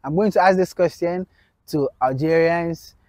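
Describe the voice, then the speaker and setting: A man's voice: on the high side, normal speed, a little mellow. A young man hosting a street interview vlog, chatty and upbeat as he urges viewers to subscribe, filmed outdoors on a campus. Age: young adult. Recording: faint outdoor background noise.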